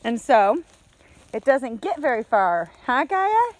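A woman in her forties giving a casual, halting homestead video diary outdoors, talking into a phone at arm's length. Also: sits high, very animated, poor audio. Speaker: homesteader vlogger. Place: outdoors on a farm. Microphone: phone held at arm's length.

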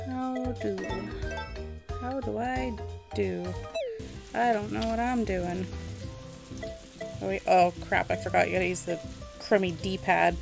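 A woman's voice: mid-range voice, creaky. A woman giving casual let's-play commentary as she games, rambling and thinking aloud to herself. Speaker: video game streamer narrating gameplay.

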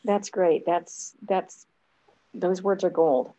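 A woman's voice: fairly low pitch, fairly even intonation, slow pace, mellow. A woman in her fifties hosting a talk over a video call from home, encouraging her guest. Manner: encouraging, many fillers. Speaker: host. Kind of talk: casual video call chat. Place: home room.

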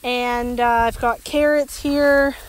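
A woman's voice: on the high side, slow. A woman in her thirties giving a casual, chatty tour of her vegetable garden, with lots of ums, outdoors on a phone held at arm's length. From Canada. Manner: relaxed and chatty, with many ums. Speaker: homesteader vlogger.

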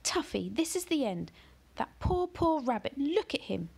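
A woman voicing an upset, tearful young girl, pleading in a high voice. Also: big pitch swings, unhurried, gravelly, darkish tone, heavily acted. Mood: distraught.

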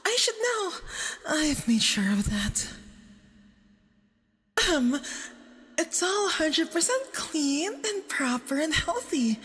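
A woman playing a nervous, clingy, obsessive young femboy boyfriend on a date in an ASMR roleplay, halting and doting, with doubled, reverberant audio. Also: high voice, very animated, fairly bright tone, exaggerated voice. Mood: anxiously reassuring. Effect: doubled audio with added reverb. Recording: long reverb, doubled voice track.

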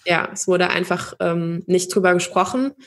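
A young woman from Germany telling her own story in an interview, thoughtful, with many pauses and fillers. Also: lowish voice, steady tone, noisy background. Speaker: podcast guest. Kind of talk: personal interview.

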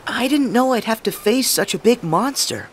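A man's voice: very high-pitched, expressive, normal speed, slight background noise. A man playing a plucky, stubborn young boy hero in a game cutscene, earnest, eager and optimistic. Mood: friendly, earnest. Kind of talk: video game cutscene acting.

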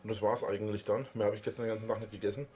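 A man's voice: on the low side, little pitch movement, rapid-fire, darkish tone, lightly acted. A man playing a rambling, food-obsessed sidekick in a parody detective audio play. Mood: matter-of-fact.

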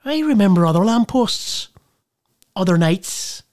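Boozy Irish wanderer played by a woman, colloquial and nostalgic, stage monologue with animated, wide-ranging pitch. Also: measured pace, close to an everyday voice. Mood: wry, tipsy. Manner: wistful, colloquial rambling with bursts of playful mimicry. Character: boozy, nostalgic Irish drinker nicknamed the captain, rambling home. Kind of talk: theatrical dramatic monologue.

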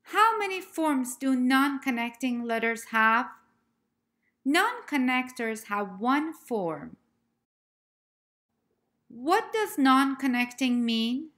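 A woman reads a scripted language lesson at an unhurried, deliberate pace. Her voice sits a little high, and she spells out each letter name with care, like a patient teacher. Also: very animated, a bit bright. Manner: slow, careful, clearly spelled-out letter names. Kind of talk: scripted narration of a slide lesson.

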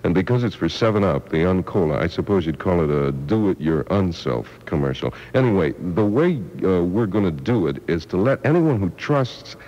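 A man's voice: sits low, sing-song, normal speed, few pauses, warm tone. A man doing a mock-improvised radio commercial in a wry, offhand way. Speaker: commercial announcer. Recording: muffled vintage radio, long reverb.

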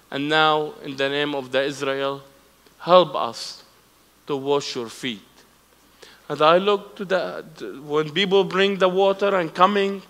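A man's voice: on the high side, a bit bright. A man in his forties with an Arabic accent, telling his testimony from a church stage in broken English and acting out both sides of remembered conversations. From Palestinian, raised in Lebanon. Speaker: guest speaker. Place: church stage with live audience. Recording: reverberant hall.

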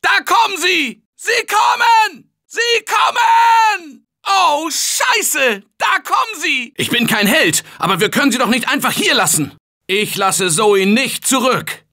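A man's voice: extremely high pitch, lively intonation, slow, sharp tone, raised voice, exaggerated voice. A man voicing a tense male survivor in a German game dub, barking urgent warnings and calls for help. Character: male game survivor, tense office worker fighting zombies. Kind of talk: game voice clips.

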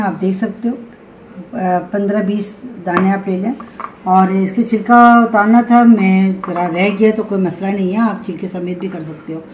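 A woman's voice: medium pitch, darkish tone. A middle-aged woman hosting a home cooking demonstration, giving casual, chatty recipe instructions in a kitchen. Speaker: home cooking show host. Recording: roomy kitchen echo, background noise.

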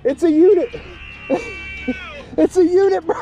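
A young man vlogging while fishing outdoors by the water, excitable and quick to laugh. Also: extremely high pitch, muffled recording, soft background music. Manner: excitable, shouting and laughing at catches.

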